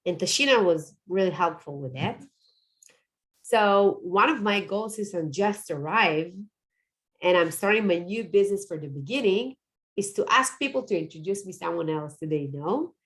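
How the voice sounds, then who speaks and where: A woman's voice: fairly low pitch, animated, normal speed, a bit bright. Earnest woman. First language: Hebrew. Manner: earnest, repeats words, adds "okay?" checks. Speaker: positive psychology coach. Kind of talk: webinar presentation.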